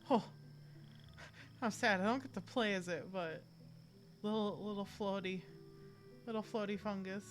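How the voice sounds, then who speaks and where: A woman's voice: mid-range voice, normal speed, long pauses, soft background music. A woman streaming a game, giving casual, chatty, playful live commentary. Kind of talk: casual live gameplay commentary, reading dialogue choices aloud.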